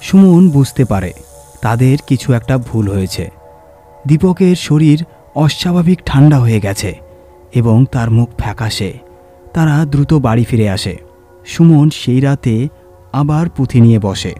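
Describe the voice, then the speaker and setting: Mellow male horror storyteller over music. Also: medium pitch, animated. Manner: measured, suspenseful storytelling. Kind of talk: scripted dramatic narration.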